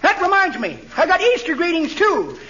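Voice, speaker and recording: A man's voice: very high voice, wildly swooping pitch, normal speed, pushed and bright, heavily acted. An actor playing a cheerful, pushy old card salesman in a radio comedy, with a cackling old-man voice and a folksy way of reciting. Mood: eager, folksy. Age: older. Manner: folksy, reciting corny rhymes. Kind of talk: 1940s radio comedy acting. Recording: noisy, muffled old radio broadcast.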